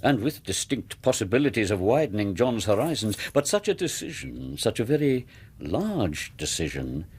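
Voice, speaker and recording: An actor playing a cautious, deliberate father in a radio drama, speaking in a husky, measured, weighty way. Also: medium pitch, very animated, a little mellow, full character voice. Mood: grave, hesitant.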